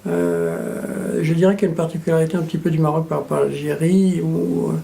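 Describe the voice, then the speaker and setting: A middle-aged man born in Morocco and raised in France, an engineer speaking reflectively in an interview, measured and thoughtful, repeating words as he searches. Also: fairly high pitch, lively intonation, vocal fry, dark tone, slight background noise.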